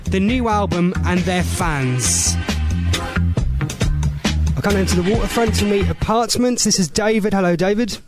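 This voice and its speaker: A man's voice: sits high, very animated, normal speed, long pauses. A man with a broad Norfolk accent presenting on the radio as host and interviewing guests, with music behind him. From Norfolk, England.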